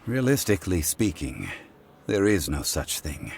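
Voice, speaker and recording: Deep, solemn, dignified god. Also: male voice, very animated, a little slow, creaky, background noise, full character voice. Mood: solemn composure. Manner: formal, composed, solemn. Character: calm, dignified male god of contracts, ageless. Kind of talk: video game cutscene voice acting. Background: music.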